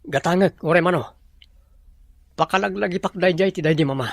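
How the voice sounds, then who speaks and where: A man's voice: sits high, normal speed, vocal fry, dry recording, clean studio sound. A man playing a scheming boss who orders an underling around in an audio drama. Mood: commanding. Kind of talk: Ilocano audio drama acting.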